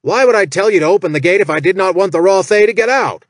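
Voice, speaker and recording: A bellowing orc overseer barks orders and repeats himself, as a man reading a storybook puts him on. The voice sits high and loud for a brute, shouting in bursts. The sound is dry and close. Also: lively intonation, fast talker, dry recording. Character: roaring bossy orc overseer imitation, male.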